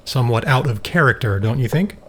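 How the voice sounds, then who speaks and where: A man's voice: mid-range voice, expressive, brisk, fairly bright tone, close to an everyday voice. Grave, troubled scientist played by a man, faint noise. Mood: dry, competitive. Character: grave, troubled scientist, a proud older brother. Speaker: audio drama actor. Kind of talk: scripted dialogue in a science fiction audio drama.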